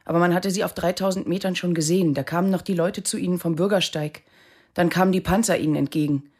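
An actress playing a serious, intense woman in radio drama dialogue, with a husky voice. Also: lowish voice, a little mellow. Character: urgent, shaken woman explaining a troubling discovery.

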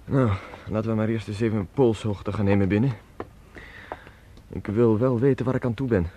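A man's voice: fairly low pitch, steady tone, moderate pace, warm tone, slight background noise, lightly acted. An actor playing a well-to-do, curious male traveller in a Dutch radio play, speaking in a formal, wordy, commanding way. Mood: wry.

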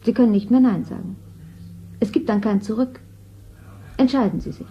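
A woman's voice: a little high, moderate pace, natural voice. A woman playing a cold official of a state TV authority, speaking formally and commandingly in a 1970s radio drama. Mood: ominous. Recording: dull lo-fi radio play recording.

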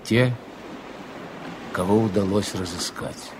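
A middle-aged man narrating an old radio drama in a measured, solemn manner. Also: sits low, noisy background.

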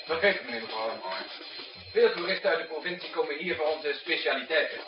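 An actor playing a polite, chatty, obliging waiter in a 1960s radio drama. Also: male voice, fairly high pitch, expressive, brisk, lightly acted. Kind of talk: 1960s Dutch radio play acting. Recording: echoey, distant, dull radio recording with music.

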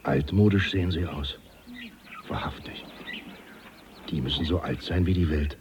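An actor playing a plain-spoken, deferential expedition helper in a German radio drama, simple and respectful in manner. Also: male voice, sits low, unhurried, dull audio. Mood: puzzled, respectful. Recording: radio drama scene with clear background noise.

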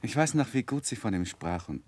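An actor playing an earnest young gentleman in a 1960s radio play, speaking and narrating in a measured, polite way. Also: medium pitch, animated, lightly acted. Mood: earnest, fond. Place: dry radio studio.

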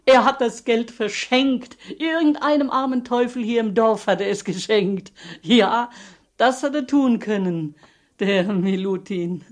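A woman acting a loyal, talkative villager in a radio play, confiding and emphatic, with a husky voice. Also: fairly high pitch, measured pace, lightly acted. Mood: animated telling.

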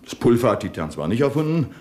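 An actor playing a shrewd, impatient police commissioner interrogating a suspect in a 1970s radio crime play. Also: male voice, mid-range voice, sing-song, fast, natural voice. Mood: stern. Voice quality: sharp, interrogating, impatient outbursts.

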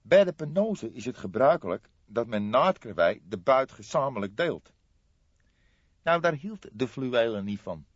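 A man voicing a scrawny, excitable café owner from the underworld in an audiobook, talking emphatic street slang. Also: fairly high pitch, dry recording, pitched above the performer's own voice. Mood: confiding. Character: scrawny indignant small-time underworld café owner, male. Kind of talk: audiobook character acting. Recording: slightly dull, dry studio recording.

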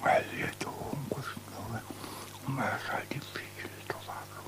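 An actor playing a confused, earnest religious man in a theatrical radio drama, speaking in a breathy whisper. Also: mid-range voice, steady tone, measured pace, natural voice. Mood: deferential. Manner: self-contradicting, earnest, gossiping. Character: confused, insistent religious man arguing about miracles. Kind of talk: avant-garde theatrical radio drama acting.

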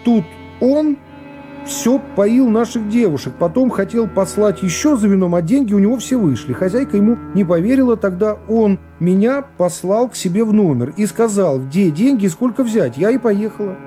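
A young woman defendant played by a man testifies in a radio reading. The voice is high and soft, with plain, artless phrasing. She sounds simple and worn down, yet defiant. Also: expressive, normal speed, soft background music. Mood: plain, recounting. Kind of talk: dramatised literary reading.

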